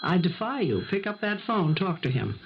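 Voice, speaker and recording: An actress playing a cold, scheming housemaid in a 1970s radio drama, speaking in an insistent, deliberate manner. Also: female voice, on the low side, big pitch swings, heavy background noise. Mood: commanding, menacing. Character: cold, relentless, scheming housemaid pressing a guilty employer. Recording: muffled vintage 1970s radio recording.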